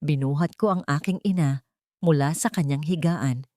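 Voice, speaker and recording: A woman's voice: sits low, moderate pace, warm tone, no room sound, clean studio sound. A woman reading a scripted, dramatic first-person audio story as its narrator.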